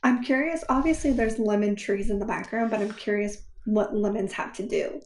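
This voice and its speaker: A woman's voice: medium pitch, moderate pace, a little hiss or hum. A woman co-hosting a podcast, chatting casually about books in a roomy, echoey recording. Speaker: podcast co-host. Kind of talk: casual book chat.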